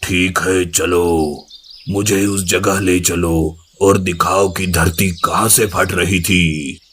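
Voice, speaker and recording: A man plays a cartoon lion who rules the jungle. His voice is very low and his delivery slow, scolding and full of authority. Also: steady tone, fairly bright tone, a little hiss or hum. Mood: commanding. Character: stern commanding male lion, king of the jungle. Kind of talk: Hindi cartoon moral story dialogue.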